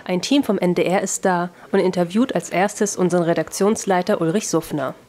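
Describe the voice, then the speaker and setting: A woman's voice: on the low side, fairly quick, dark tone, slight background noise. A woman reading a scripted news narration as a reporter.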